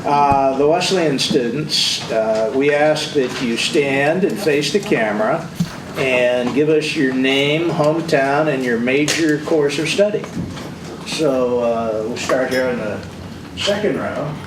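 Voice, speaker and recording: A man's voice: medium pitch, takes it slowly. Wry, joking man chairing a council meeting. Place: large echoey council chamber. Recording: echoey hall, distant mic, loud noise.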